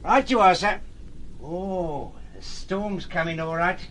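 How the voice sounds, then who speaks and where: An actor playing a wily, servile henchman with rough colloquial speech in a radio drama. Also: sits high, slow, a bit bright, slight background noise. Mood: ingratiating, sly. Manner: ingratiating, colloquial, muttering asides.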